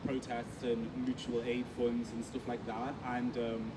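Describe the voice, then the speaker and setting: A man from Liverpool talks earnestly in a relaxed interview, often pausing on ums and restarting his sentences. He sounds set back from the mic, with traffic audible around the outdoor stage. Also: medium pitch. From Liverpool, England. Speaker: guest creative. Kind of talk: casual conversational interview. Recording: distant mic with street noise.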